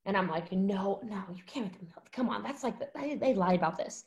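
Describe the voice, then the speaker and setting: A woman in her thirties, a guest telling a story in casual chat, animated and acting out quotes with lots of fillers. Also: medium pitch, a little fast.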